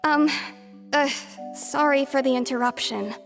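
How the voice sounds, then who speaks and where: A reluctant young witness from a video game. Her voice is high and hesitant, apologetic in manner, with music clearly behind. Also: measured pace. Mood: awkward, reluctant.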